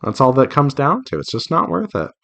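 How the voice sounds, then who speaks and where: A man's voice: medium pitch, huge pitch swings, a little fast, vocal fry, a bit bright. A man talking casually and earnestly in a rambling, advice-giving way, like an artist chatting while working. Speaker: visual novel developer and artist hosting a drawing devlog.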